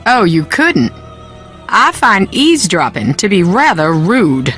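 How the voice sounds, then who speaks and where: A woman's voice: mid-range voice, very animated, takes it slowly, a bit bright, faint music behind. An actress voicing a haughty, secretive upper-class woman in a video game, curt and dismissive. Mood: icy disdain. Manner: clipped, imperious, dismissive.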